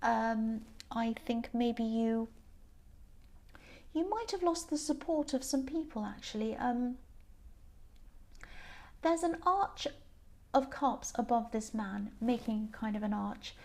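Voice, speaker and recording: A woman with a slightly croaky, tired voice giving a casual tarot reading, gentle and meandering with many ums and restarts. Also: a little high, takes it slowly, pauses often. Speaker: tarot reader.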